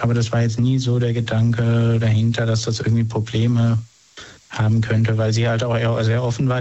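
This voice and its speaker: Slightly muffled lo-fi audio of a man with a mellow, fairly monotone voice. He gives casual interview answers, hesitating and rewording as he searches for the right expression. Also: mid-range voice. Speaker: interview guest.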